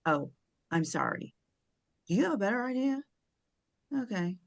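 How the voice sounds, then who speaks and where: A woman's voice: mid-range voice, big pitch swings, moderate pace, gravelly, dry recording. A woman at a script table read, playing an imperious, sarcastic woman who takes command.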